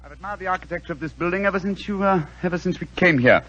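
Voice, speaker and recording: An actor playing a brisk, cool-headed detective, commanding and dryly amused, in 1940s radio acting. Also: male voice, high voice, a bit bright. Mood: keen. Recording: dull old radio recording with hiss.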